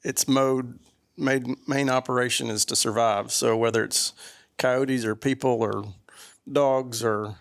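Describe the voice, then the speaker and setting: A man's voice: mid-range voice, steady tone, a little slow, vocal fry. A man on a casual panel, rambling in an easygoing way with frequent restarts. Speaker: panel guest.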